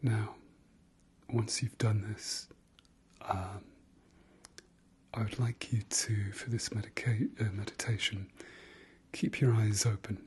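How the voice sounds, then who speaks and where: Male meditation guide: lowish, very slow, whispered ASMR delivery, soft and hesitant. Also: fairly even intonation. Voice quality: breathy, whispery. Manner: soft, gentle, hesitant pauses with trailing repeats.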